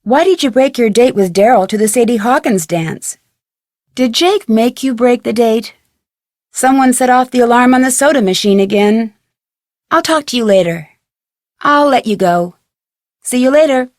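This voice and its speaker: A woman plays a curious young female detective in a video game. Her voice sits on the high side and is recorded dry. She speaks in a friendly, inquisitive way, as if guiding the player. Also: animated, normal speed, long pauses, no room sound, lightly acted. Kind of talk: scripted game dialogue and tutorial narration.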